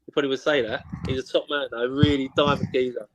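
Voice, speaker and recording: A man's voice: medium pitch, fairly even intonation, fast, fairly bright tone, low-quality recording. A man, a former player chatting on a fan show, telling rambling stories with plenty of banter. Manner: chatty, anecdotal storytelling full of banter. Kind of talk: casual chat.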